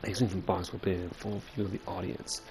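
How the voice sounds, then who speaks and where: A man's voice: sits low, level tone, quick pace, over music. A man giving casual gameplay commentary, muttering as he thinks aloud and reads. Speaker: gamer recording a playthrough. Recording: clear game noise behind voice.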